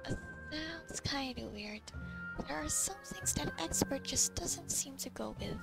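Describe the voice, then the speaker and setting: A woman's voice: high voice, animated, unhurried, vocal fry, rough recording. A woman giving casual gameplay commentary, with informal, dry asides. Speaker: gamer commentator. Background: game music.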